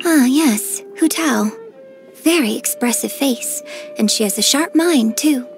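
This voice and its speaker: A woman voicing a graceful, gentle and cheerful young female opera singer in a game, with polite, elegant diction. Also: sits high, measured pace. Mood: admiring. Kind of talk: scripted game character voice lines. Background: background music.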